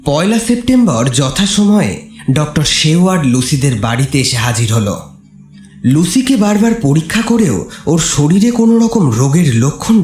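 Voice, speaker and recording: A man tells a horror story with theatrical suspense. His fairly high voice moves with very lively intonation, carried in a roomy reverb. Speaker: narrator. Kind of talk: dramatic scripted horror story narration. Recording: roomy reverb, faint music behind.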